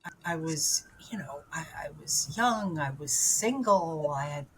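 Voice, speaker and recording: A woman's voice: sits low, expressive, measured pace, fairly bright tone, a little hiss or hum, poor audio. A woman in her seventies, from New York, telling her own story as an interview guest in a candid, rambling way with lots of fillers and restarts. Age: 70s.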